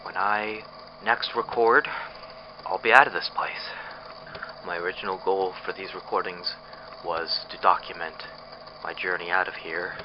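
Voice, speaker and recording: An actor playing a frightened, bitter captive test subject recording an escape diary in an audio drama, speaking in a halting, hesitant way. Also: male voice, low-pitched, steady tone. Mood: tense, resolved. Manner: halting, hesitant, breathy sighs. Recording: muffled lo-fi tape with clear noise.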